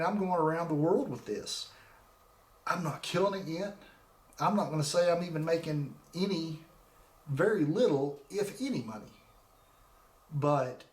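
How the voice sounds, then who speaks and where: A man's voice: a little high, echoey room. A man in his forties hosting his own podcast, talking casually and rambling with frequent restarts into a desk microphone. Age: 40s. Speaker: podcast host. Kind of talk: casual solo podcast talk. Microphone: desk microphone with laptop.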